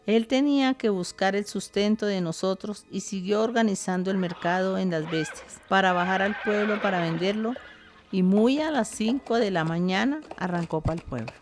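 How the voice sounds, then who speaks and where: A woman's voice: medium pitch, moderate pace, soft background music, close to an everyday voice. An older woman with a Colombian accent playing a grandmother who recounts her rural childhood in a radio drama. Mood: grave, reminiscing. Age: over 60.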